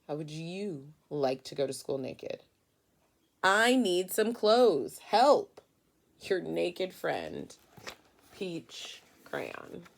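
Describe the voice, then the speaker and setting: A woman's voice: fairly low pitch, vocal fry, full character voice. A woman voicing an embarrassed peach crayon in a storybook, plaintive and pleading.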